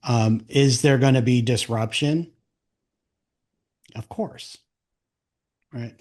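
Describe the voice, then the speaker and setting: A man with an average-pitched voice speaks as a podcast host. His delivery is conversational and plain-spoken, with an explanatory bent, as in an informal business interview. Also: steady tone, slow, darkish tone.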